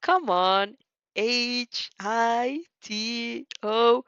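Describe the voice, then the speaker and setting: A woman's voice: fairly high pitch, extremely slow, a bit bright, smooth, no room sound, lo-fi sound, heavily acted. A woman voicing a teasing, playful teenage boy with a drawling manner in an anime fan story.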